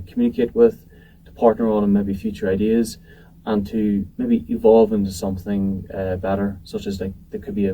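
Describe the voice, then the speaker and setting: A man's voice: fairly low pitch, dark tone. A man answering interview questions about a tech event in a casual, hesitant way, with many ums and restarts. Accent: not from New Zealand. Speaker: event organizer, interview guest. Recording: distant mic with faint noise.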